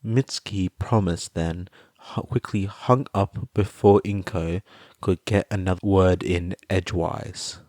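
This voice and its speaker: A man's voice: low voice, fairly even intonation, measured pace, a little mellow, no room sound, studio quality. A man reading a story aloud as scripted podfic narration.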